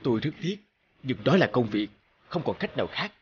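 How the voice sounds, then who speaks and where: A man voicing a polite, firm social worker in a cartoon, formal and measured. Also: mid-range voice, sing-song, muffled recording, slight background noise, lightly acted. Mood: calm, regretful. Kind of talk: animated cartoon dubbing.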